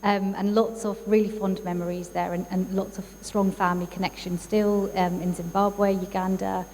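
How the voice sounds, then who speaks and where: A woman's voice: mid-range voice, little pitch movement, normal speed. Chatty woman in an echoing hall. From East London, England. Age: 30s. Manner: warm, chatty, frequent fillers. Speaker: guest speaker, psychologist. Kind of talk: personal talk at a community event. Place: church hall. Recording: reverberant hall, faint background noise.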